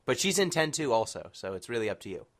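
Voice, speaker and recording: A man's voice: medium pitch, sing-song, fast talker, a bit bright. A man hosting a casual chat podcast, opinionated and bantering. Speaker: podcast host.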